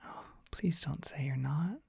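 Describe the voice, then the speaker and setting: A performer playing a dorky, gentle young dragon boy in an ASMR roleplay, speaking in a soft breathy whisper, tender and bashful. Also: mid-range voice, measured pace, no echo, heavily acted. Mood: tender, reassuring. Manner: tender, bashful, hesitant, softly reassuring. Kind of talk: ASMR roleplay, intimate comforting monologue.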